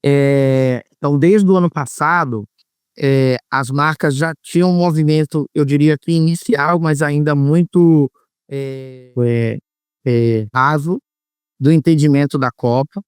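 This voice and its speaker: A man from Bahia being interviewed, explaining at length in a measured way with frequent hesitations. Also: on the high side, fairly even intonation, a little mellow, smooth, no room sound, studio quality. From Salvador, Bahia, Brazil. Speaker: former marketing executive, guest. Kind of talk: interview answers.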